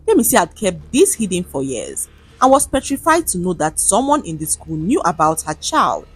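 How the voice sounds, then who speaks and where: Woman, Nigerian accent, dramatic story narrator, animated intonation, husky edge, faint music behind. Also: fairly low pitch. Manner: expressive, dramatic.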